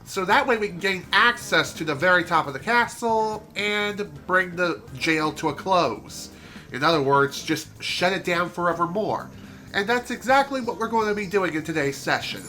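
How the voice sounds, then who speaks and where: A man's voice: high voice, lively intonation, moderate pace, pushed and bright. A man chatting through a game stream in a casual let's-play style, his voice nasal and congested, with chatty self-deprecating asides. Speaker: streamer playing a video game. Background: game music.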